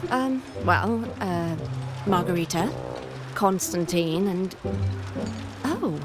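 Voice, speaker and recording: A woman's voice: on the low side, animated, slow pace. An actress playing a flustered, easily confused townswoman in an anime game, speaking hesitantly. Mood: flustered, frustrated. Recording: loud music behind.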